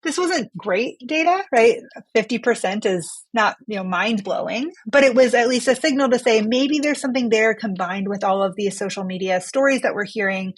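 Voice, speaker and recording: A woman's voice: a little high, normal speed, few pauses, husky, no room sound. Woman explaining research, close dry sound. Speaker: physician researcher, rhinologist interviewed as study author.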